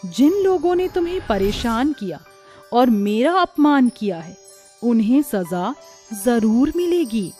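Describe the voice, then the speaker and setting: A woman's voice: fairly high pitch, sing-song, takes it slowly, dark tone, soft background music. A woman voicing a majestic mother goddess in a cartoon, solemn and declamatory, stern yet benevolent. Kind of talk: Hindi cartoon voice acting.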